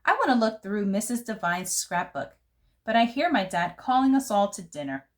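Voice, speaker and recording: A woman reading a picture book aloud to children in an expressive, careful, theatrical storytelling manner. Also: medium pitch, moderate pace. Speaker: children's read-aloud host.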